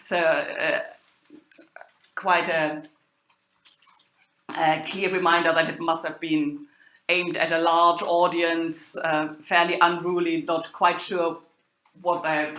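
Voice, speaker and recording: A woman giving an academic lecture as a university lecturer, speaking haltingly and thinking aloud. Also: on the low side, little pitch movement, fairly bright tone. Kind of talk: academic lecture on medieval drama. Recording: roomy, echoing sound.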